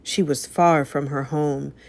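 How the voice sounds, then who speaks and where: A woman reading a children's story aloud as narrator, with an expressive, dramatic delivery. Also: deep voice, takes it slowly, gravelly.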